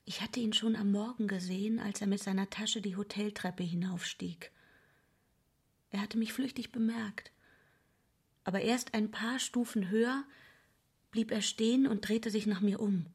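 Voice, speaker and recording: A wife in a radio drama, baring a secret longing. Her voice is breathy and sits at a middle pitch. She speaks in a hushed, deliberate and intimate way, troubled underneath. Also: fairly even intonation. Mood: hushed, wistful. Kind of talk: radio play acting.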